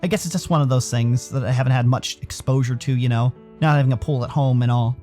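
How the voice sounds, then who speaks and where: A man's voice: mid-range voice, steady tone, fast, a little mellow, faint music behind, voice pushed down, lightly acted. A man voicing an awkward, chatty young man in a visual novel, in a casual, hesitant way. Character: awkward, chatty, easily impressed young male house guest. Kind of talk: visual novel character dialogue.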